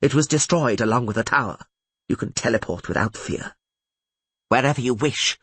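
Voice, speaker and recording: A man's voice: mid-range voice, normal speed, fairly bright tone, slightly rough, dry recording. A man voicing an imperious older female archmage in an audiobook, speaking in formal, proclamatory tones. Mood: stern. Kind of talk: audiobook character voice.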